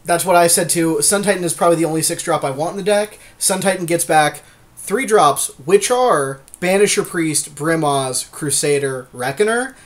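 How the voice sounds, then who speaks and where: A man with a voice pitched somewhat high, talking casually on a podcast. He thinks aloud in an easy, agreeable way as he weighs each pick. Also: moderate pace. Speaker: podcast co-host. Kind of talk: casual chat drafting a card game.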